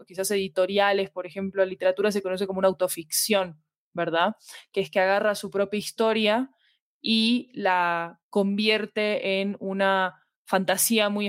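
A woman's voice: mid-range voice, no room sound, studio quality. A woman giving conversational interview answers, explaining things and checking in with short tag questions. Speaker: podcast fiction director being interviewed.

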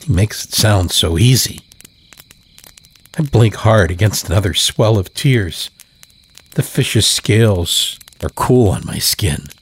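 A man narrating scripted horror fiction in a measured, deliberate way. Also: on the low side, lively intonation, husky. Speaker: storyteller, narrator.

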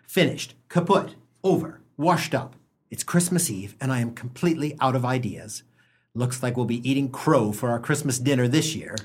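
Man acting a husky, sardonic grumbling husband. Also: mid-range voice, lively intonation, moderate pace. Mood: resigned. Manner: wry, sarcastic, wordy. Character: sardonic, grumbling small-town husband and father. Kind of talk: comic radio play acting.

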